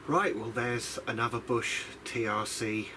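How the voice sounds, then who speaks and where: A man's voice: mid-range voice, unhurried, over loud music. A man from the UK giving a casual, unscripted hobbyist demonstration in a rambling, hesitant, self-deprecating way. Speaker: hobbyist radio restorer presenting a video diary. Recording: clear background noise.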